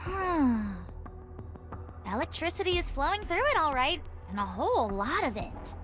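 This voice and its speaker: A woman's voice: high-pitched, sing-song, moderate pace, fairly bright tone, faint music behind. A woman voicing an inquisitive, scholarly young female analyst in a video game, musing and precise. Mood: curious, musing.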